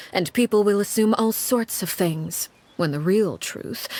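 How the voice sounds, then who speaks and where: A cold, composed game villainess with a lowish voice, speaking slowly and formally, each line carrying a quiet threat. Faint game music plays under her lines. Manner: measured, formal, quietly menacing. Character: cold, composed woman who leads a household of orphans. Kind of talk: game cutscene voice acting.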